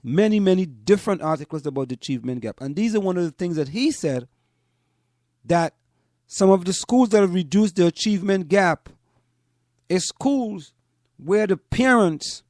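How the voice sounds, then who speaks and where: High-pitched man, deliberate talker. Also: a little mellow, no room sound, studio quality. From Trinidad and Tobago. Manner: deliberate, repeats phrases for emphasis. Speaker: host of a community parents' talk show. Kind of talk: spoken commentary to listeners.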